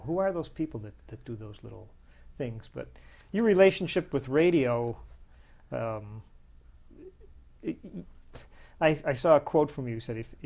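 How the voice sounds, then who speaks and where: A man's voice: medium pitch, darkish tone. Man interviewing with brief prompts. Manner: brief, encouraging listener. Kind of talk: casual interview.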